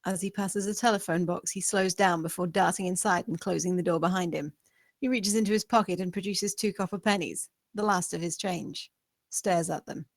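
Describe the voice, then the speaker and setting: A woman's voice: fairly low pitch, fairly even intonation, moderate pace, dry recording, studio quality. A woman reading out screenplay stage directions as scripted narration.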